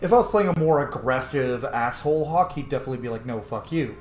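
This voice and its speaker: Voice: medium pitch, animated, fast talker. A game streamer giving casual let's-play commentary, musing aloud and swearing now and then. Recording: roomy echo, faint game music behind. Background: game music.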